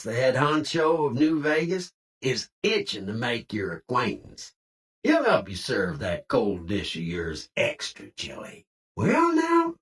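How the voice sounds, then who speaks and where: An actor voicing a cheerful cowboy robot greeter in a video game, with a folksy American cowboy drawl and hearty Old West slang. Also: male voice, lowish voice, fairly bright tone, recorded from a distance, full character voice. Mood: folksy, sympathetic, then folksy, cautioning. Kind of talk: video game character lines.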